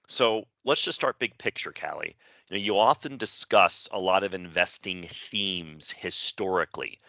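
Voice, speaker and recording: Male co-host, deep voice, sharp forceful delivery, dry close recording. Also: normal speed, gravelly, no echo. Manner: asks long framed questions, affirming. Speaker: podcast co-host. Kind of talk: conversational podcast interview.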